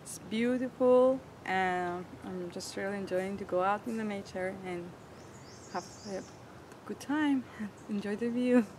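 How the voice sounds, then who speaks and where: Young woman in her twenties, mid-pitched, earnest and searching for words, vehicle noise clearly audible behind her. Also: measured pace, darkish tone. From Iran. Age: 20s. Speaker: interviewee. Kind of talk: personal storytelling.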